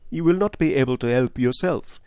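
A man voicing a shrewd, calm and courteous detective in an audiobook, with a measured, probing manner. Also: mid-range voice, clear, resonant tone, no echo, studio quality, voice pushed up, natural voice. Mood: insistent, calm. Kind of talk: audiobook character voice.

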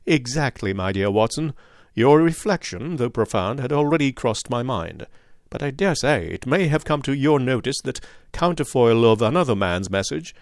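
Incisive detective read by a man, measured, precise, dryly ironic, mid-pitched, dry close sound, audiobook. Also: no echo, close to an everyday voice. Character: calm, incisive adult male detective.

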